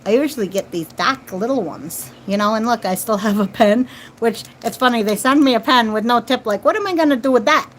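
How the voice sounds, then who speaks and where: A woman's voice: fairly high pitch, fairly quick, a little hiss or hum. A woman in her forties chatting casually to viewers as host of a livestream, repeating phrases and tag questions. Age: 40s. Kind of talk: casual live chat with viewers. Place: at home, children nearby.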